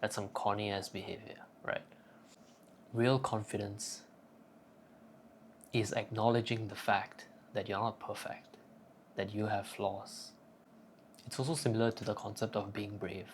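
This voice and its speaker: A man's voice: lowish voice, flat delivery, a little slow, pauses often, slight background noise. A young man giving casual self-improvement advice in a cocky, profane, joking manner. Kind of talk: casual crude advice monologue.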